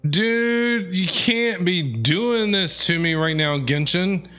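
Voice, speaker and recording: Dude streaming a game, casual reaction talk, slangy and jokey, faint music behind. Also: male voice, high voice, lively intonation, takes it slowly, bright, forceful tone. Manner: slangy, joking, emotional. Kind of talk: casual live reaction commentary.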